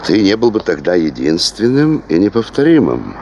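An actor playing a high-ranking official in a radio play, wry and teasing, with a grandiose ironic manner. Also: male voice, wildly swooping pitch, moderate pace, darkish tone, dull audio, slight background noise, exaggerated voice. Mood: frank, wry. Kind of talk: Soviet radio play acting.

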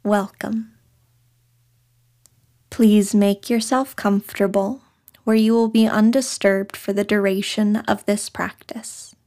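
A woman leading a guided meditation in a soft, breathy, soothing voice, speaking short phrases with long pauses. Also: medium pitch, level tone. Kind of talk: guided meditation, scripted narration.

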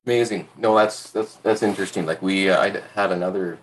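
A man's voice: deep voice, fairly even intonation, brisk, a little hiss or hum, rough recording. A man with a Canadian accent hosting an interview as an informal, slightly rambling casual chat. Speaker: interview host.

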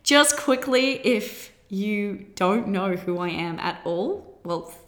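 A woman in her twenties with an Australian accent, hosting a solo podcast in a bubbly, chatty way with quick laughs. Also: mid-range voice, animated. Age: 20s. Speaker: podcast host.

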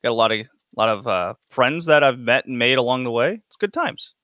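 A man hosting a casual podcast chat, rambling quickly and laughing often. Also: mid-range voice, expressive, fairly bright tone, no room sound, studio quality. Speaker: podcast host.

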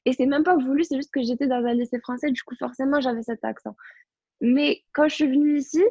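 Young woman, higher voice, slightly dull audio. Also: steady tone, fairly quick, dark tone, breathy edge, no echo. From Morocco. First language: French. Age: young adult. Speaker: student on a podcast panel. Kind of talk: casual personal chat.

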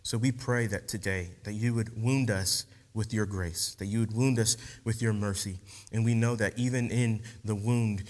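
A man giving a casual, slangy sermon after an opening prayer, talking with many fillers. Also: fairly low pitch. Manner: relaxed, slangy, animated teaching with many fillers. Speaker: preacher. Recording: long hall reverb.

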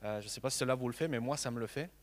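A man in his thirties from Mexico, a native Spanish speaker, preaching a sermon in an earnest, halting way with many repeated words. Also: medium pitch, big-room sound.

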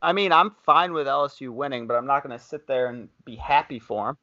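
A man's voice: mid-range voice, brisk, bright, forceful tone, dry recording, highs cut off. A man co-hosting a casual sports podcast, stubbornly contrarian and holding his ground. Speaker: podcast co-host.

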